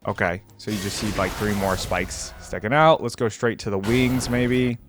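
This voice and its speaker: A man's voice: fairly low pitch, flat delivery, moderate pace, over music. A man giving casual, slangy reaction commentary as a video host, thinking aloud as he goes.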